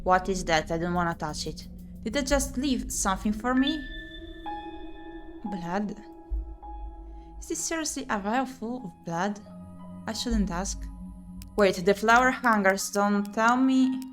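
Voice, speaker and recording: A woman doing let's-play commentary, reading game narration aloud and muttering and exclaiming to herself in an expressive way. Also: medium pitch, measured pace, long pauses. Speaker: streamer playing and reading a visual novel. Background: music.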